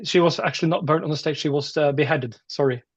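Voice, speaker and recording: Norwegian male musician, interview guest. Higher-pitched voice, halting chatty delivery, dry but dull audio. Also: slightly rough, dry recording. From Norway. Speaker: metal musician being interviewed. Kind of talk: casual interview chat.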